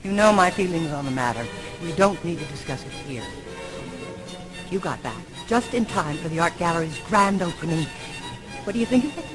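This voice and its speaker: A woman's voice: lowish voice, lively intonation, normal speed, natural voice, low-quality recording. An actress playing a kindly but firm older woman in a video game, genteel and motherly in manner. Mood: disapproving. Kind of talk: video game dialogue acting. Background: music.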